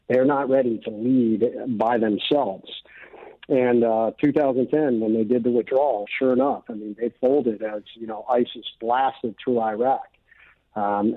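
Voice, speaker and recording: A man's voice: mid-range voice, dry recording. American male, middle-aged veteran type, earnest and emphatic interview guest, dry lo-fi radio sound. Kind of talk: earnest opinion talk.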